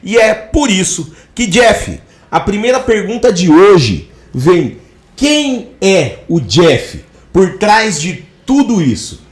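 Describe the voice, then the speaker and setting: A man's voice: high-pitched, big pitch swings, slow, echoey room. A man hosting a podcast interview, introducing his guest in effusive, inspirational phrasing. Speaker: podcast host.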